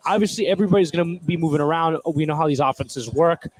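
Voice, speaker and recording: A man's voice: a little high, quick pace, no room sound, soft background music, rough recording. A man doing casual color commentary on a live basketball game, analyzing plays in an informal, conversational way. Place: courtside in a school gym.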